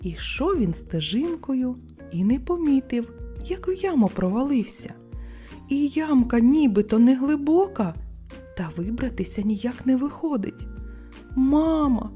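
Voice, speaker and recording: A woman reads a children's tale with lively, swooping intonation. Her voice is fairly high and soft-toned, and she takes her time over the phrases, with music playing behind her. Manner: expressive, unhurried bedtime reading. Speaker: storyteller. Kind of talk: scripted children's fairy tale narration.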